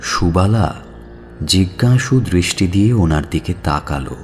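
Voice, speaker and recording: A man's voice: low voice, animated, a little slow. A man narrating a scripted audio story in a dramatic storytelling style.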